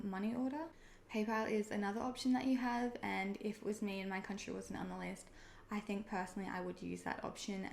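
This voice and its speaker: A woman's voice: mid-range voice, vocal fry, darkish tone. A young Australian woman explaining things in a friendly, chatty vlog, often saying "um" and "personally". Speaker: online seller and video creator. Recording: echoey room with faint noise.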